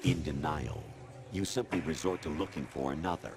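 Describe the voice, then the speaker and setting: A man voicing a cold, condescending artificial intelligence in a video game cutscene, lecturing in a measured, disdainful way. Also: extremely low pitch. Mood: cold contempt. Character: cold, condescending male artificial intelligence posing as human authority. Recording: loud music behind the voice. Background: loud music.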